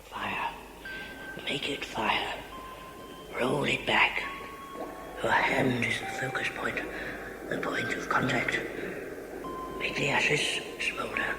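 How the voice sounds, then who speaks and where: Wildly swooping, impatient sorcerer tutor. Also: male voice, mid-range voice, huge pitch swings, takes it slowly, long pauses, full character voice. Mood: intoning. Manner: hectoring, incantatory, scolding. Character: impatient, insulting sorcerer tutor of fire magic. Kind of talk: sci-fi audio drama acting. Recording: echoing audio play with music behind.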